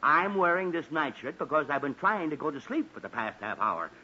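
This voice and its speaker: A man's voice: a little high, very animated, brisk, sharp tone, breathy edge, full character voice. A man playing an exasperated middle-aged father in a 1940s radio comedy, sputtering and flustered. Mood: flustered indignation. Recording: muffled, noisy 1940s radio recording.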